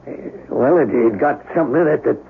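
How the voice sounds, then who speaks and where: An actor playing a plain-spoken, embittered rural mechanic with a halting, folksy way of talking and a gravelly voice, in a radio drama. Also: male voice, medium pitch, lightly acted. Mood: halting, sorrowful. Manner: folksy, halting, ungrammatical speech. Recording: narrow phone-like, faintly noisy radio broadcast.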